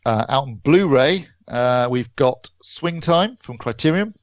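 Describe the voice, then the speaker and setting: A man co-hosting a podcast, chatting casually and haltingly about films, thinking aloud as he goes. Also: mid-range voice, lively intonation. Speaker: podcast co-host and film reviewer. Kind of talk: casual film release chat. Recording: dull, roomy lo-fi podcast audio.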